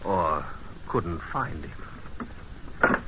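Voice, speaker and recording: An actor playing a polite, shrewd military intelligence captain, measured and probing, in a vintage radio drama on an old broadcast recording. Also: male voice, low voice, lively intonation, muffled recording, heavy background noise, full character voice. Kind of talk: 1940s-50s radio drama acting.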